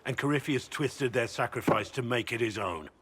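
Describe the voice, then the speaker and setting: An actor playing a solemn, devoted middle-aged warrior in a video game, speaking earnestly and gravely. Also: male voice, medium pitch, moderate pace, a bit bright.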